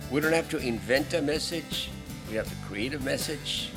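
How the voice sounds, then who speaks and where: A man of middle pitch preaches to his church, stopping and restarting as he goes. He breaks off now and then to speak straight to the congregation, and his voice sits right in the usual range for a man. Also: lively intonation, creaky, music in the background. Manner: halting, with frequent restarts and asides to the congregation. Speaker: pastor. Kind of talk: sermon. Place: church sanctuary.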